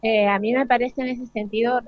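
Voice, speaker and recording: An Argentine woman speaking as a panel guest in a deliberate, reflective and somewhat hesitant way. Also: fairly high pitch, steady tone. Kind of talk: reflective unscripted panel talk. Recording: dull lo-fi live broadcast.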